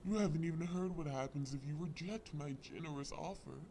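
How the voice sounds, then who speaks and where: A man's voice: a little high, fairly quick, warm tone, slight background noise, lightly acted. A man playing a possessive, mock-sweet crime villain in an audio roleplay, taunting with theatrical politeness. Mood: gloating, mocking. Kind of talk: scripted audio roleplay acting.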